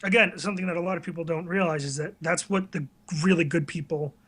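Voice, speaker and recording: Male video creator as interviewee, high-pitched, informal, modest and self-deprecating. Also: fairly even intonation, fast talker, fairly bright tone. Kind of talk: casual chat.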